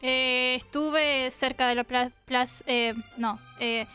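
A woman in her twenties from Russia with a Russian accent, speaking as a guest in a radio studio and pausing often to search for words. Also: high voice, fairly even intonation, bright, forceful tone, soft background music. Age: 20s. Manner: hesitant, searching for words with many fillers. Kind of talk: interview answers. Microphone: studio microphone.

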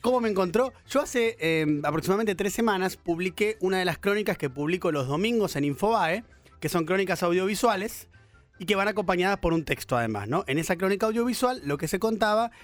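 A man tells a story on a talk show in a chatty, amused manner. His voice is pitched high, with faint music underneath. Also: expressive, fairly quick. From Argentina. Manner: chatty, amused, reads messages aloud. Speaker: radio show host and journalist.

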